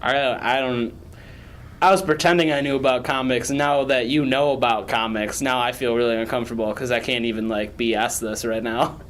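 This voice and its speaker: A man's voice: medium pitch, fairly even intonation, fairly quick, fairly bright tone. A young man co-hosting a podcast, chatting casually and joking around. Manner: joking, rambling, breaks into off-key singing. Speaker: podcast co-host. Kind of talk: casual chat. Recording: faint background noise.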